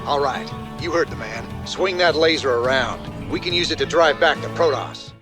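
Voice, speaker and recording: An actor playing a weary, wry rebel space commander in a video game, with dry, folksy military talk. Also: male voice, on the high side, moderate pace, natural voice. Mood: resolute. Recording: music behind.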